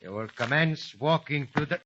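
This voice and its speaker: An actor playing a cruel, scheming smuggler boss with a sneering, contemptuous manner in an old radio drama. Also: male voice, fairly high pitch, moderate pace, close to an everyday voice. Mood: menacing. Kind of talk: 1950s radio drama acting. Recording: hissy lo-fi old radio recording.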